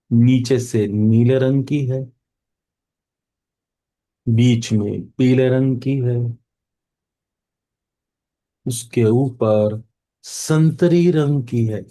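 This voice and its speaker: A man with an Indian accent guides a meditation at an extremely unhurried pace. His voice is mellow and relaxed, with a middling pitch. He speaks soothingly, leaving long pauses between short phrases.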